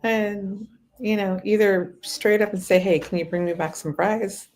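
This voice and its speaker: A woman's voice: medium pitch, fairly even intonation, measured pace, a little mellow. Blunt, chatty woman on stream. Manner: blunt, chatty, swears casually. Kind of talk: casual chat.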